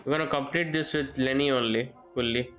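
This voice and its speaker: A male game streamer gives casual live commentary at a medium pitch. He talks to himself in halting, muttered bits, with game music behind him. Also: fairly even intonation, a bit bright, dull audio.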